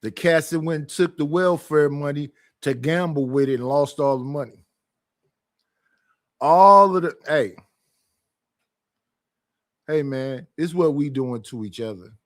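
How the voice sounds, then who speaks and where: A man's voice: a little high, unhurried, pauses often, no room sound, studio quality. A man hosting a livestream, talking loosely and bluntly in a casual, conversational way. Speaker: livestream host.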